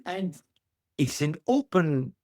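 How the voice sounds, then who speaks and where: Man, middle-aged, halting upbeat English, dry close sound. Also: a little high, big pitch swings, darkish tone, dry recording. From Tunisia. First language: Arabic. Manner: halting non-native English, earnest and upbeat. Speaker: guest. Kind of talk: interview answers.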